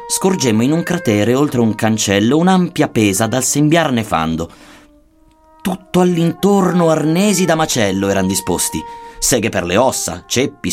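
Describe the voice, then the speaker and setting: A man's voice: medium pitch, sing-song, moderate pace, darkish tone, close to an everyday voice. A man playing a poet pilgrim who recounts a journey through hell in theatrical, declamatory archaic verse. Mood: grim. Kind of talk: dramatic verse narration. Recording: music behind the voice.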